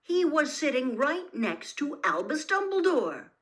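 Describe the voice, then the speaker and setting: Animated woman reading a story dramatically. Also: sits high, unhurried. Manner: dramatic, with hushed suspenseful drops. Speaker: audiobook-style reader of stories. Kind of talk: expressive storybook narration.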